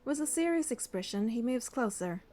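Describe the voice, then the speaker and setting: An actress playing a caring, curious young heroine who narrates and speaks in a romance game, measured and earnest. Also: female voice, fairly high pitch, expressive, warm tone, soft background music, natural voice. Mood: measured, observant. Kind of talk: first-person narration and dialogue in a romance game.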